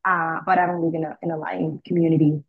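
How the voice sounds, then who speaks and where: A young woman from the Dominican Republic, answering interview questions in a relaxed way, with many fillers and restarts. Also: low-pitched, quick pace, creaky, husky, no room sound, phone-quality sound. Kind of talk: casual conversational interview.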